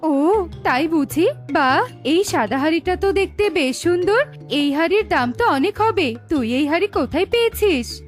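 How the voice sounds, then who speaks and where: A woman's voice: extremely high pitch, lively intonation, normal speed, soft background music. A woman voicing a greedy, pushy, envious female bird in a children's cartoon moral story, demanding and boastful. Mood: envious, curious.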